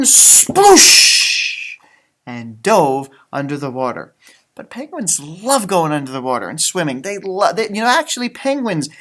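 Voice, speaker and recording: A man reads a picture book aloud to young children. His pitch swings widely as he gives the story dramatic emphasis. Between the lines he chats casually, explaining things in simple words. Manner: expressive, dramatic delivery with sound effects and exclamations. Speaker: elementary school music teacher reading storybooks aloud.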